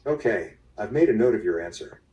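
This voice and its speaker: A man playing the narrator-host of an interactive murder mystery, speaking in a measured way directly to the listener. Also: on the low side, animated, dull audio, lightly acted. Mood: measured, guiding. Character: male narrator-host guiding a player through a murder mystery. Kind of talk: scripted interactive drama narration. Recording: played through speaker, slightly distant.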